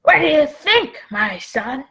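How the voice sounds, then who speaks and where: Woman playing a creaky, blustering old pirate man. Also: high-pitched, very animated, pushed and bright, heavily acted. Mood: sly mock-friendly. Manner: blustering, slow, archaic pirate talk. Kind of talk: radio play acting.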